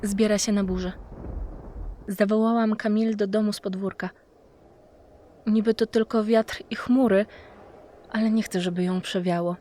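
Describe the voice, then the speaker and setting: A woman's voice: medium pitch, fairly even intonation, moderate pace, long pauses, warm tone, lightly acted. A woman acting as a loving, worried mother voicing her diary in an audio drama. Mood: growing worry.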